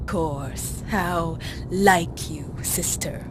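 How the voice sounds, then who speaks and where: A woman playing a boastful, scheming female vampire in a video game cutscene, taunting and sardonic with a purr. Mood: sly, scheming. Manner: taunting, purring, sardonic, with drawn-out threats. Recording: clear rumbling noise behind the voice.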